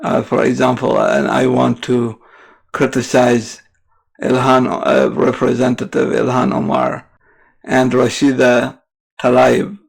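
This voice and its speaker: A man from the Middle East, a native Arabic speaker, giving unscripted political commentary as a podcast host in a halting way, often correcting himself. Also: mid-range voice, gravelly, breathy edge, low-quality recording. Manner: halting, searching for words with frequent self-corrections.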